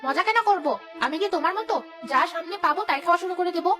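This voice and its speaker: A woman voicing a young female village ghost in a cartoon, gruff but motherly and protective, scolding and muttering to herself. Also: extremely high pitch, rapid-fire, music in the background. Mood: defiant, annoyed. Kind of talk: Bengali cartoon voice acting.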